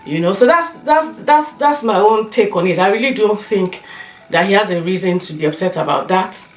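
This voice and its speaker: A woman with a slightly hoarse voice, chatting casually as a vlogger giving her opinions and telling anecdotes. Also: mid-range voice, lively intonation, moderate pace. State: slightly hoarse, recovering from a cough. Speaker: YouTube lifestyle and beauty vlogger. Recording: roomy echo, light music underneath.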